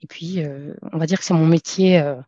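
A woman's voice: sits low, fairly even intonation, a little fast, mellow, no room sound. Hesitant woman in her thirties, dull dry sound. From France. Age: 30s. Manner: hesitant, many fillers and restarts. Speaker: podcast guest, entrepreneur. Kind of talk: casual conversational interview.

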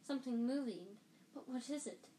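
Dreamy old-school seer lady, breathy and mystical, woman doing the voice, kinda high. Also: normal speed, mellow, slight background noise, close to an everyday voice. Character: dreamy eccentric female divination teacher, murmuring.

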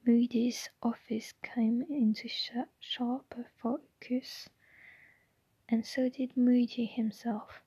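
Woman whispering a story, very slow. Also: fairly high pitch, level tone, breathy edge, no echo, low-quality recording. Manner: soft unvoiced whisper throughout. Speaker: narrator. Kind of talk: ASMR audiobook reading.

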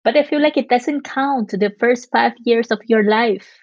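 A woman's voice: a little high, moderate pace, no echo. A woman in her thirties who grew up in Thailand, chatting casually as an interview guest and laughing often. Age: 30s. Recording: muffled lo-fi audio.

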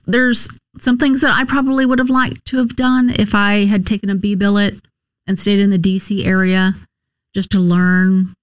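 A woman's voice: medium pitch, dry recording. An American woman answering interview questions conversationally, in a candid, explanatory way with emphatic repetition. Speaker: interview guest, nurse case manager and former Marine officer.

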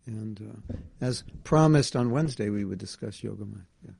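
A man's voice: lowish voice, normal speed, creaky, darkish tone, husky. A man teaching an informal scripture class indoors, talking casually with restarts. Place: indoor room at home.

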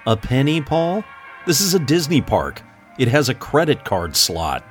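Man as a sardonic, very animated sidekick. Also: medium pitch, measured pace, faint music behind. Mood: impatient, wry. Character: sardonic, impatient male friend in spooky adventure. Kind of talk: comedic audio drama acting.

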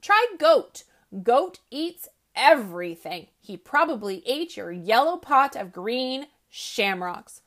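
A woman's voice: on the high side, very animated, slow, few pauses, a bit bright, exaggerated voice. A woman voicing a blunt, slightly gossipy male woodchuck in a storybook reading.

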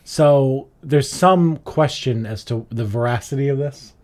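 A man's voice: medium pitch, measured pace, a little mellow. Chatty man hosting a casual podcast. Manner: chatty, explains with many "like" fillers. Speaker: podcast co-host. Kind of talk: casual chat.

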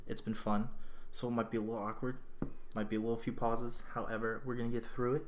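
A man's voice: mid-range voice, level tone, quick pace, background noise. Casual American man hosting a podcast. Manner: loose, jokey, swears casually. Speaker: podcast host. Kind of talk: casual chat.

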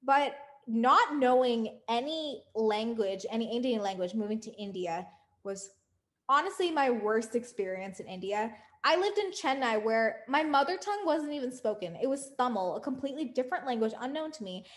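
A woman's voice: fairly high pitch, expressive, moderate pace. Earnest young woman podcast co-host. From United States. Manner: earnest, emphatic, lists points with rising force. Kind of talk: casual podcast discussion.

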